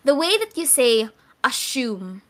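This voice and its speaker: A young woman from the Philippines, a guest content creator, telling stories in animated, laugh-filled casual chat. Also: high voice, a little slow. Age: young adult. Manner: animated storytelling, laughs often between words.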